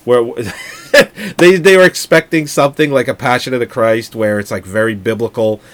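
A man's voice: medium pitch, normal speed. A man co-hosting a casual movie podcast, joking crudely and laughing often as he chats about films. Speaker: podcast co-host.

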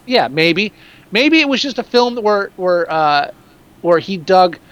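A man with a fairly high, very lively voice that swoops around as he talks films on a casual podcast. He is opinionated and swears freely. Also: slow pace. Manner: animated, profane, opinionated. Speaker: podcast co-host. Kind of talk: casual movie chat.

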